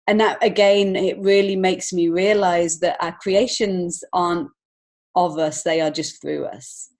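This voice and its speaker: A woman chatting casually as a guest in an interview, with a candid, expressive and giggly manner. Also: lowish voice, a little slow. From lives in Montreal, Canada. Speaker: guest, children's author and illustrator.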